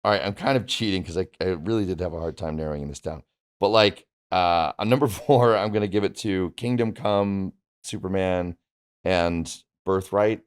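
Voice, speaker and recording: A man's voice: low-pitched, moderate pace, smooth, no echo. A man who works as a voice actor, chatting casually in a discussion, feeling his way through his thoughts in a hesitant manner. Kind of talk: casual chat about favorite comics and films.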